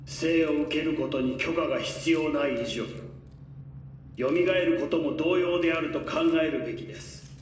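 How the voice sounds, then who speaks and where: A man acting as a haughty corporate patriarch in a game. He speaks formally and coldly in a slightly high voice, echoing and a little away from the mic. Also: natural voice. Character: cold, imperious corporate patriarch making a public statement. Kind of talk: formal scripted statement in a video game. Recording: echoey, distant, faint noise.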